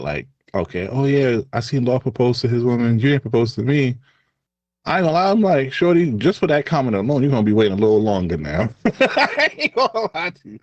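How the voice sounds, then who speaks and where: A man with a Haitian accent co-hosting a podcast in casual chat, animated and quick to laugh, his voice jumping high when he gets excited. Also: no room sound, dull audio. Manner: lively, jumps high in pitch when excited, laughs often. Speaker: podcast co-host.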